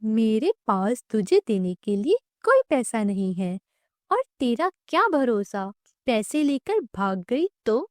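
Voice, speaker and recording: A woman's voice: fairly high pitch, very animated, slow pace, a little mellow, dry recording, clean studio sound. A woman voicing a harsh, stingy female employer in a cartoon moral story, dismissive and scolding. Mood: scornful.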